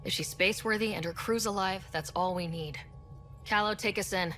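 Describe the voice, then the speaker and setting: Actress doing young space team leader lady, practical, careful, medium pitch, soft music behind. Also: brisk, lightly acted. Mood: questioning, firm. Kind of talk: video game cutscene acting.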